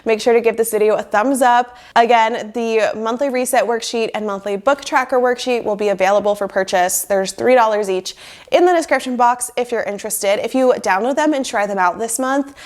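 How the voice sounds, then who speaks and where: A woman's voice: fairly high pitch, fairly quick. A young woman in her twenties, sick with a cold and sounding nasal, chatting upbeat to camera in a small room on a lapel mic, with lots of "like" fillers. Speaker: lifestyle vlogger. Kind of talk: casual chat to camera. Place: small bedroom office indoors. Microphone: lapel mic plugged into a phone.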